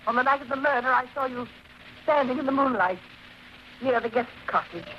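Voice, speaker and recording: A woman's voice: high voice, brisk, natural voice. A woman playing an anxious, earnest figure in a family mystery, pleading dramatically in old-time radio style. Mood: uneasy, pleading. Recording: muffled, noisy 1940s radio recording.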